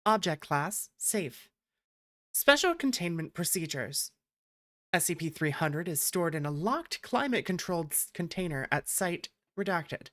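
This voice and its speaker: A woman's voice: on the low side, animated, measured pace, fairly bright tone. A woman hosting a gaming stream, chatting casually and reading text aloud.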